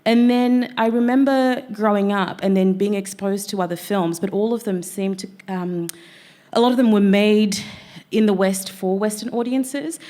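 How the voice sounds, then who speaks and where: A woman's voice: medium pitch, mellow. A woman in her thirties, raised in Zambia, moderating a panel discussion with reflective, conversational talk. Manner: reflective, conversational, quick bursts of speech.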